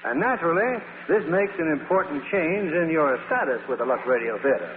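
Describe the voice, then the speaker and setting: A man's voice: on the high side, expressive, fairly quick. A man hosting a 1940s radio theatre with scripted announcing and chat with stars. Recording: echoing 1940s radio broadcast, narrow band, hiss.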